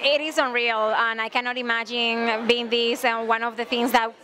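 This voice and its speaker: A woman's voice: on the high side, level tone, moderate pace, a crowd in the background. A young woman, a film extra, answering in a hesitant, thoughtful way at a cinema event. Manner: hesitant, thoughtful, many ums. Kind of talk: casual interview answers. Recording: background noise.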